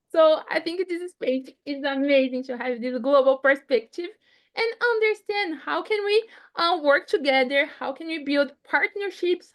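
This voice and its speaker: A woman with a Portuguese accent hosts an online panel over a video call. Her voice sits high and her phrasing is lively and non-native. She speaks Portuguese as her first language. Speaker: event host.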